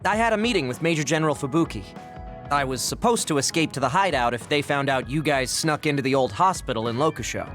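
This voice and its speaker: Over faint music, a young squad operator in a game. His voice sits fairly high and he reports briskly and matter-of-factly. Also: expressive, a bit bright. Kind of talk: video game cutscene acting.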